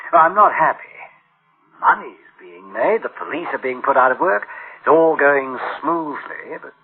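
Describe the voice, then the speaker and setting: An actor playing an uneasy, conscience-stricken boss in a radio drama, grave and halting as he broods. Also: medium pitch, phone-quality sound. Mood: anxious, brooding. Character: uneasy, conscience-stricken male boss dreading his machines. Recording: band-limited old radio drama sound.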